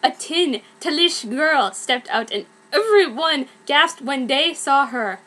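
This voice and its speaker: A young woman with a high-pitched voice performs an amateur dramatic reading. She goes slowly and deliberately, delivering clumsy fan writing exactly as written. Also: animated, bright, forceful tone. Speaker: amateur fanfiction reader.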